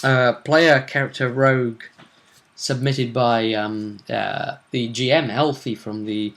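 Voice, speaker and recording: Man, very bright forceful voice, mid pitch, casual game master recap, halting storytelling. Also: fairly even intonation. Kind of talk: casual tabletop role-play recap.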